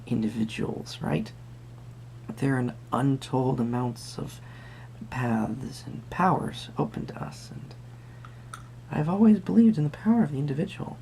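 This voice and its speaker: A performer playing a gentle, eccentric tarot card reader in an intimate roleplay, musing and hesitant, with a breathy voice that often drops to a whisper. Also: mid-range voice, slight background noise. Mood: encouraging, mysterious. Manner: musing, hesitant, softly trailing off.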